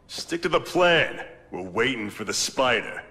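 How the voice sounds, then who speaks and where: A tough gang henchman in a game, bossy and threatening. His voice is hard and sharp, with long reverb. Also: medium pitch, sing-song, a little slow, exaggerated voice. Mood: stern warning. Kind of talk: video game cutscene acting.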